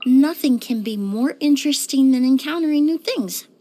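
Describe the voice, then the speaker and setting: A woman playing a composed, courteous young woman perfumer in a video game, speaking in a polite, measured, softly formal way. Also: sits high, lively intonation. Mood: courteous, inquisitive. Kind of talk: scripted video game character lines.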